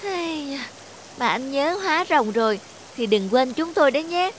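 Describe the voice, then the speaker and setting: A woman voicing a small, young talking fish in an animated fairy tale, expressive and earnest. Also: high voice, normal speed, a little hiss or hum. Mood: chatty.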